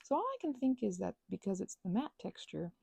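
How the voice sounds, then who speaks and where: A woman with a heavily creaky, husky voice rambles casually in a playful ASMR style. Her pitch swings around as she interrupts herself with asides. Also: very animated, brisk, mellow. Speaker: ASMR and audio creator.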